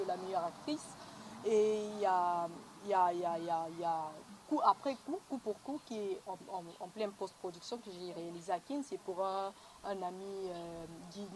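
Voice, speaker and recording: A young woman with a Congolese accent, answering conversationally as an interviewed film actress, recorded on noisy location sound. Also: medium pitch. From Republic of the Congo.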